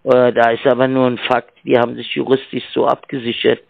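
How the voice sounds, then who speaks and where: A man calling as a sales agent by phone, halting and fumbling with fillers, heard over a tinny phone line. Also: medium pitch, completely flat delivery, gravelly, no echo. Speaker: scam caller. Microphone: telephone.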